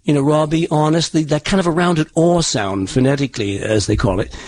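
A man's voice: fairly high pitch, brisk, a little mellow. A man being interviewed as a dialect coach, explaining sounds eagerly with examples.

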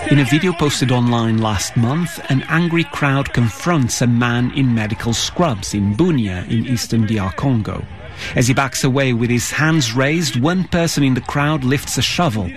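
A man reading a scripted news report in a serious, measured tone. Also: medium pitch.

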